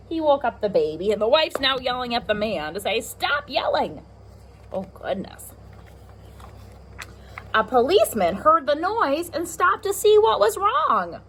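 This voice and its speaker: A woman reading a picture book aloud to young children, expressive, with chatty asides to her listeners. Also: sits high, a little hiss or hum. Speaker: pre-K teacher reading aloud.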